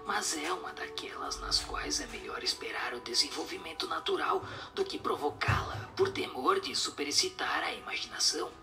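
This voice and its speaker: A man voicing a solemn spirit who answers questions in a breathy, whispery, didactic way. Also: mid-range voice, expressive, sharp tone, soft background music, exaggerated voice. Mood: solemn, instructive. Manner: didactic, measured explanations.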